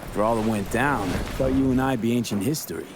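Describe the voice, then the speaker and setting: An actor playing a laid-back, wry young American mercenary in a video game, dry and casual. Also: male voice, medium pitch, fast, noisy background, lightly acted. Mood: concerned. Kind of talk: video game dialogue acting.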